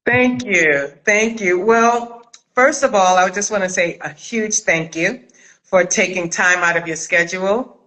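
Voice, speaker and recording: Woman hosting a casual livestream, super bright voice, takes her time, kinda gushy and effusive. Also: medium pitch, measured pace, rough recording. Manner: effusive, gushing. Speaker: host of a live chat interview, audio drama maker. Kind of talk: casual live-stream chat. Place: live video call on social media.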